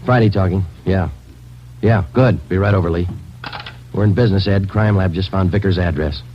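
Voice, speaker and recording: An actor playing a terse, businesslike police detective sergeant in a 1940s radio drama, clipped and deadpan. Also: male voice, deep voice, normal speed, close to an everyday voice. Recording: dull vintage radio recording with music.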